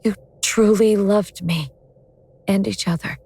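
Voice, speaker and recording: A woman playing an awakened ancient goddess of vengeance in human form, speaking in a wry, deliberate, sardonic way in an audio drama. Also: medium pitch, warm tone, husky. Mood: tender. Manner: sardonic, world-weary, deliberate explaining.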